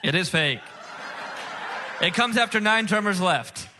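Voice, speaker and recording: A man's voice: high-pitched, expressive, unhurried, background noise. A man hosting a comedy game show on stage, with a showy, emphatic announcer delivery. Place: stage before a live audience.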